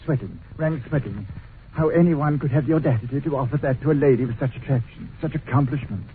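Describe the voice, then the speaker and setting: An actor playing a jovial, scheming, stout middle-aged employer who flatters and fusses, in an old radio drama on a tinny recording with faint hiss. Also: male voice, medium pitch, a little fast, lightly acted. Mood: gushing. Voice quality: effusive, fussy, flattering. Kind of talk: 1940s radio acting.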